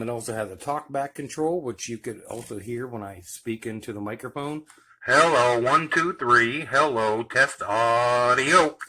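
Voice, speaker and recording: A man's voice: medium pitch, pushed and bright. A man giving a casual, hands-on demonstration as the host of a home video. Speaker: host demonstrating CB radio gear. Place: at home by a radio base station. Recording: clear background noise, clicks.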